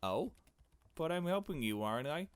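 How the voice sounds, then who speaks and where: A man's voice: fairly high pitch, big pitch swings, normal speed, clean tone, no room sound, voice pushed up. Man reading as a curious, earnest young girl. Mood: curious, insistent. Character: small girl narrator, curious, earnest, persistently questioning. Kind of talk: visual novel dialogue read aloud.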